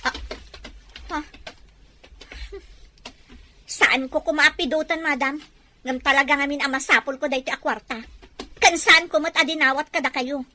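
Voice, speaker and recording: A woman's voice: high-pitched, steady tone, normal speed, long pauses, pushed and bright. A woman playing a proud, wronged young street vendor in a Filipino radio-style drama, firm and indignant. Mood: firm, pleading. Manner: firm, indignant, respectful address.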